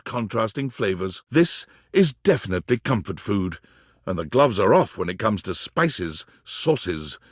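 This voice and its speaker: A man narrating a whimsical game as a wry, florid storyteller, with measured, theatrical delivery that relishes every flourish. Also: mid-range voice, breathy edge, no room sound, studio quality. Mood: descriptive, relishing. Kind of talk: scripted narration.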